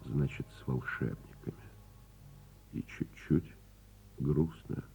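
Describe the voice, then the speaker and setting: An actor playing a gentle, father-like storyteller telling a bedtime tale in a radio play, musing and expressive. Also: rumbling low, husky, narrow, tinny audio, full character voice.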